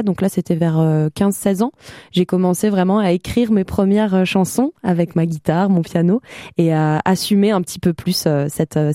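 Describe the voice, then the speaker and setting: Relaxed, lowish young woman. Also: no echo, clean studio sound. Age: young adult. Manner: informal, slangy, candid. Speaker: singer-songwriter guest. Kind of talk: casual personal storytelling in an interview.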